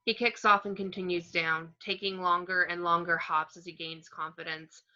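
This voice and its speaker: A woman reading screenplay action descriptions as narration, in a plain, measured way. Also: fairly low pitch, fairly even intonation, pushed and bright. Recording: slightly dull home microphone.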